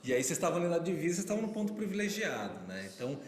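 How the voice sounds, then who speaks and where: A man's voice: a little high, big pitch swings, rapid-fire. A man hosting a podcast, chiming in with comments and questions. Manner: chatty, interjects with comments and questions. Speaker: podcast host. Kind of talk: casual podcast conversation. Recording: echoey room with faint ambience.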